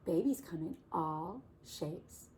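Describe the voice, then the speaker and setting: A woman voicing a kind, patient big sister who speaks gently and reassuringly. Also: deep voice, animated, takes it slowly, a little hiss or hum. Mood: gentle.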